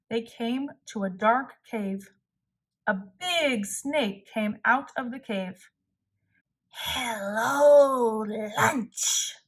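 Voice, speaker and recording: Female children's storybook narrator, fairly high voice, very slow and deliberate read-aloud pacing. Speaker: storybook reader.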